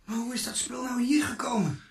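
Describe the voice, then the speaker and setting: A man's voice: extremely high pitch, brisk, soft background music. An actor playing a puzzled, deferential junior policeman in a radio play, speaking in a hesitant, questioning way. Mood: surprised. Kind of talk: Dutch radio play acting.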